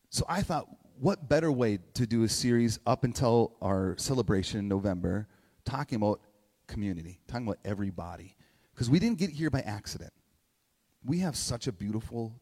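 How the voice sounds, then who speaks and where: A man's voice: medium pitch, darkish tone. Man preaching informally in an echoey hall. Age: 40s. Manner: halting, repeats phrases, checks in with "right?". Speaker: pastor. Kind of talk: informal conversational sermon. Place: large reverberant indoor room, church gathering. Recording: echoey hall with long reverb.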